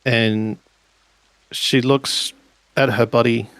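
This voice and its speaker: Slow, gravelly man narrating a game. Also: medium pitch, steady tone, fairly bright tone, no room sound, low-quality recording. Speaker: tabletop role-playing player narrating characters. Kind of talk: improvised tabletop game narration.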